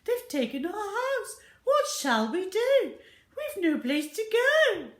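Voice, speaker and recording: A woman's voice: very high voice, sing-song, takes it slowly, echoey room, voice pushed up, exaggerated voice. A woman voicing a tiny fussy storybook mother, wailing and dramatic. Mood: sobbing, despairing. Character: tiny fussy, distraught mother, Missus Gregg.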